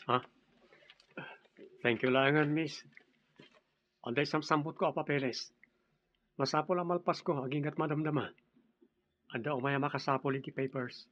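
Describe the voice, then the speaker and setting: A man's voice: on the high side, fairly even intonation, normal speed, long pauses, fairly bright tone, no room sound. A man playing a dutiful, reserved grown son and office worker in a radio drama, with an Ilocano Filipino accent. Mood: polite, reserved. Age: middle-aged. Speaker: voice actor. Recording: dull lo-fi radio drama audio.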